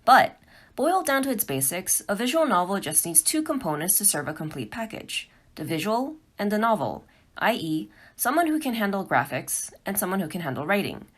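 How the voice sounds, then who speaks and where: A woman's voice: medium pitch, sing-song, fairly quick. A woman presenting a scripted, pre-recorded instructional talk as an amateur developer.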